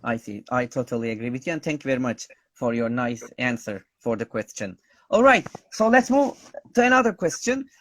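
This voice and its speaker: A man with a Turkish accent hosting an online interview, polite and soft-spoken. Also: medium pitch, animated, brisk. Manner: polite, soft-spoken, frequent laughs and thanks. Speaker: interviewer host. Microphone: video call.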